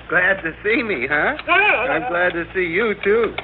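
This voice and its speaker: Meek, high-pitched American clerk. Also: male voice, sing-song, slow, phone-quality sound, exaggerated voice. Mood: fond, excited. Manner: hesitant, apologetic, stammering. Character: meek, henpecked, timid male office clerk. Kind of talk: old-time radio drama acting. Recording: thin, noisy vintage radio recording.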